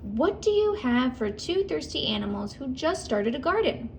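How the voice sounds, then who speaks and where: A woman's voice: high voice, sing-song, moderate pace, a little hiss or hum. A woman voicing a friendly storybook mole. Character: friendly male mole gardener.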